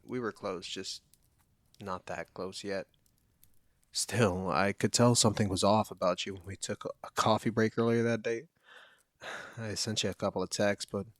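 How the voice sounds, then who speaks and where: A man's voice: fairly low pitch, dry recording, close to an everyday voice. A man playing a caring, protective young college friend in an intimate, one-sided audio roleplay, speaking in an earnest, conversational way.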